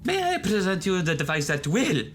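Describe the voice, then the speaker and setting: A man's voice: high-pitched, wildly swooping pitch, moderate pace, fairly bright tone, slight background noise, exaggerated voice. A man playing an earnest, slightly hesitant ship's doctor and inventor in a sci-fi audio drama. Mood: excited, proud.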